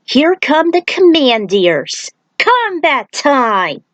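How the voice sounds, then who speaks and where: A woman voicing a cocky, taunting male fighter in a story, with brash, drawn-out delivery. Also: high voice, sing-song, a bit bright, no echo, lo-fi sound, voice pushed up, heavily acted. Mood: battle-ready.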